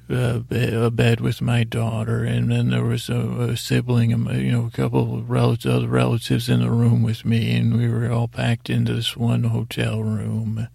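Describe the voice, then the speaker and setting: A man with a gravelly voice hosting a podcast, telling a rambling bedtime story in a halting, meandering way, often trailing off and restarting mid-phrase. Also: medium pitch, darkish tone, no room sound, clean studio sound. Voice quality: gravelly, creaky voice. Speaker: podcast host.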